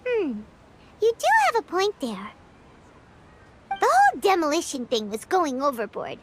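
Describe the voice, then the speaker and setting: A woman voicing a small, chatty, childlike fairy companion in a video game, chirpy and opinionated, with a squeaky voice. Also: a little hiss or hum.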